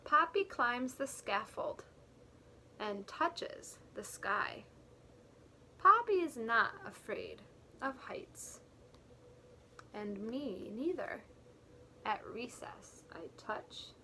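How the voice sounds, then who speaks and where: A woman reads a picture book aloud as a teacher would for young children. Her voice is somewhat bright with a touch of creak, and she goes slowly and expressively, adding plain explanations along the way. There is faint noise behind her. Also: on the high side. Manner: expressive, deliberate reading with simple explanations for children. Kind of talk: read-aloud storytelling with explanatory asides.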